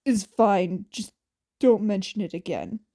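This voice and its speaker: A woman voicing a gruff, reluctant young man with a terse, offhand manner in a story. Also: medium pitch, lively intonation, a little slow, mellow, pitched below the performer's own voice. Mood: guarded.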